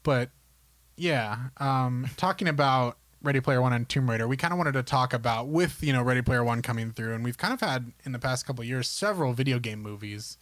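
A man's voice: mid-range voice, lively intonation, fairly quick, a bit bright, no room sound. A man co-hosting a podcast, chatting casually and informally in a rambling way about films and games. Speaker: podcast co-host.

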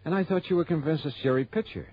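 An actor playing a tough, skeptical insurance investigator in a radio detective drama, terse and wry, with an American accent. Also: male voice, on the high side, quick pace, husky. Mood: dry, pressing. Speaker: lead detective and narrator. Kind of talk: mid-century detective radio acting. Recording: dull old radio recording.

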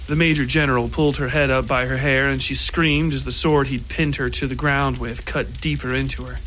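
A man narrating scripted dark fiction as the storyteller of a horror podcast. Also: medium pitch, fairly even intonation, a little fast, slight background noise, low-quality recording. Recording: music under the voice.

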